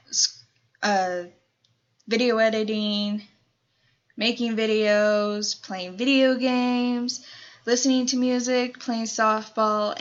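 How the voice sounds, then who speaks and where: A woman's voice: medium pitch, muffled recording. A nineteen-year-old woman from Colorado, chatting casually in a Q&A vlog, rambling with lots of fillers. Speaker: YouTuber.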